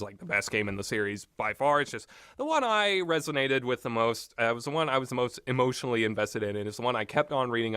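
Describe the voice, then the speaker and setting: Bright-voiced man rambling through a casual review. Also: medium pitch, clean tone, no echo, clean studio sound. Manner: rambling, often breaks into amused laughter. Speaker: video game reviewer.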